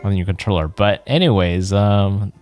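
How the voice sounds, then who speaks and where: A man's voice: fairly low pitch, normal speed, a little mellow, faint music behind. A man livestreaming a video game, chatting casually and thinking aloud in a rambling way. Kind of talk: casual chat while playing a game.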